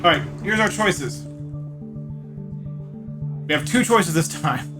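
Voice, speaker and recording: Guy streaming a game, kinda high voice, joking around w chat, music pretty audible. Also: lively intonation, takes it slowly. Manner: playful, talks to chat by name. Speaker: livestream host playing a visual novel. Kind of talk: casual livestream chat.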